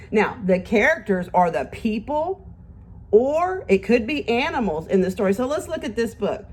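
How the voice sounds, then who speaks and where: A woman teacher reads aloud to little children with a very animated, swooping melody. She takes her time, speaking slowly and clearly addressing her young listeners. She encourages them and puts simple questions to them as she goes. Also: mid-range voice.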